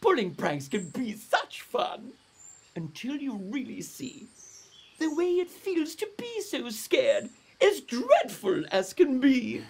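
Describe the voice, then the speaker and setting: A man's voice: very high voice, huge pitch swings, takes it slowly, gravelly, darkish tone, slightly rough, voice pushed up, full character voice. A man voicing a kindly female flying fox who cheerfully explains things in a sing-song storybook voice. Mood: gently instructive.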